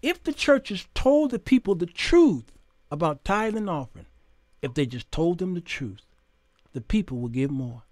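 A man's voice: sits high, expressive, moderate pace, a little mellow, no echo. An American man in his fifties hosting an informal, opinionated monologue, speaking emphatically in a preacherly way with rhetorical questions. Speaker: talk show host. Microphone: close condenser microphone on boom arm.

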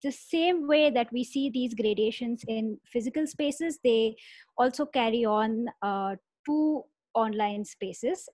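A woman's voice: on the high side, darkish tone, dry recording. A young woman on a webinar panel, talking earnestly with many fillers, on an earphone mic from her bedroom. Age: young adult. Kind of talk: webinar discussion. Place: bedroom at home. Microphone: earphone mic on a video call.

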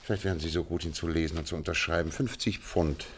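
Radio drama detective: deep, measured, politely commanding, slight background noise. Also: male voice, fairly even intonation. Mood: politely probing. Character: shrewd, courteous detective feigning frailty, commanding underneath. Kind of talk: German radio play acting.

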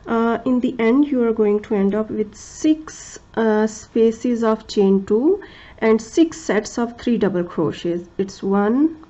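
Slow-talking woman, non-native English speaker. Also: a little high, animated, dark tone. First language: not English. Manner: slow and careful, with many fillers and restarts, counting stitches aloud. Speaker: crochet tutorial host. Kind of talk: step-by-step craft tutorial.

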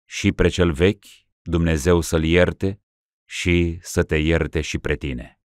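Man doing a grave elderly bishop, rebuking, deep dry voice. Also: steady tone, no room sound, lightly acted. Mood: solemn. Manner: solemn, rebuking. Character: stern elderly male metropolitan bishop.

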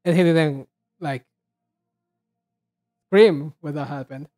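A reaction video host giving casual commentary, halting and repeating words while thinking aloud. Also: mid-range voice, a little mellow, faint music behind.

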